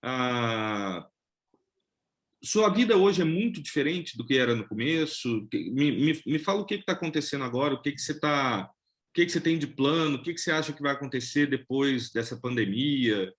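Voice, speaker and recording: A man hosting a casual interview, asking relaxed questions and often repeating words as he goes. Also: medium pitch, moderate pace. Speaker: interviewer, channel host.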